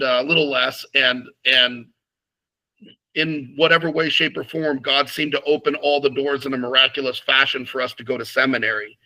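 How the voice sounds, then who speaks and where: A man with a very bright, forward voice speaks as an interview guest. He is a priest, and he talks frankly and haltingly about his early years, often restarting his sentences. His pitch sits in an ordinary middle range. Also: rough recording. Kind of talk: casual interview conversation.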